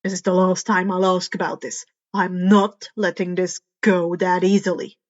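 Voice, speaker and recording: A woman's voice: lowish voice, flat delivery, normal speed, lo-fi sound, voice pushed down, lightly acted. A woman voicing a stern, dry male hero who speaks in firm, terse questions and warnings in a story reading. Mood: stern, insistent.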